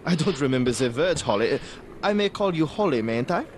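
An actor playing an evasive, courteous Viennese baron in a radio drama, with an Austrian German accent and a hesitant, ingratiating manner. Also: male voice, a little high, expressive, a little fast, a little mellow, a little hiss or hum, close to an everyday voice. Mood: solemn.

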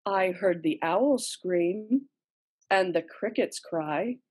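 A woman playing a steely, scheming noblewoman in an amateur read-through of a classical verse drama, in a measured, dramatic manner. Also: medium pitch, a little mellow, breathy edge. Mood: controlled. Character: scheming, steely wife of a murderous lord. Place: small room at home, indoors. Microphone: computer mic on a video call.

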